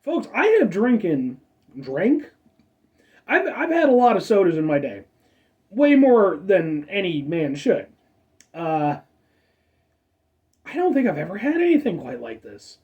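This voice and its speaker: A man's voice: high-pitched, dark tone, distant mic. Chatty stuffed-up man reviewing a soda. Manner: rambling, self-correcting, chatty asides. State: stuffed-up nose. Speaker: food review show host. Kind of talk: casual product review.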